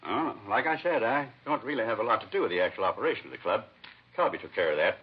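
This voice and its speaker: An actor playing a fussy, self-satisfied nightclub owner, chatty and offhand, in a 1950s radio crime drama. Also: male voice, on the low side, lively intonation, fast talker, natural voice. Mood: offhand, distracted. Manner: chatty, offhand, breaking off into kitchen asides. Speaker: club owner being questioned. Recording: muffled, noisy vintage radio recording.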